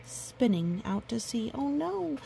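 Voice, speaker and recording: A woman reading a picture book aloud to a young child, animated and repeating words for emphasis, with explanatory asides. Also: on the high side, slow pace, gravelly, slight background noise.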